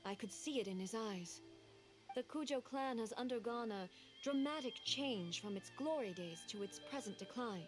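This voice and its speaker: A woman's voice: fairly high pitch, lightly acted. A woman voicing a regal, solemn goddess. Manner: measured, formal, dignified. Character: composed regal female deity, grave and formal. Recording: game audio with music behind.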